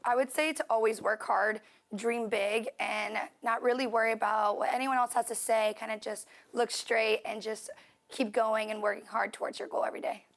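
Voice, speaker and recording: Young woman athlete guest, quick talker, a bit high, some gravel in the voice. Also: fairly even intonation. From Puerto Rico. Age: 20s. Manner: fast, casual, with filler words. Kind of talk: interview answers.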